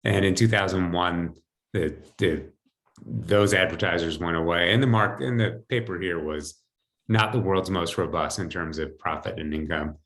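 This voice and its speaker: A low-voiced American man in his fifties talks as a guest on a video call. He tells his own story in a conversational way, as if chatting with the interviewer. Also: moderate pace, gravelly, a bit bright. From United States, New Jersey. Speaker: podcast guest, financial advisor. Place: home, indoors. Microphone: video call computer mic.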